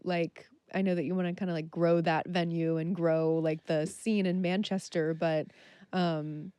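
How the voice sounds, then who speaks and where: A woman's voice: fairly low pitch, flat delivery, a little slow, dark tone, smooth, no echo. A woman hosting a radio music show, chatting casually and filling her questions with "like.". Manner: chatty, lots of "like" fillers. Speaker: radio host. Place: radio studio.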